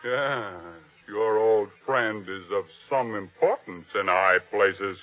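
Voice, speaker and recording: A man's voice: on the low side, takes it slowly. An actor playing a proud, domineering captain of a king's guard in old-time radio drama style, commanding and taunting. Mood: smug, taunting. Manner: commanding, taunting, self-important. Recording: dull, lo-fi old radio sound.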